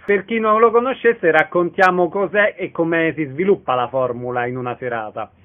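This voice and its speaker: A man's voice: fairly high pitch, moderate pace. A man acting as interviewer, putting questions in a casual radio-style interview. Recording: tinny narrowband phone-like sound.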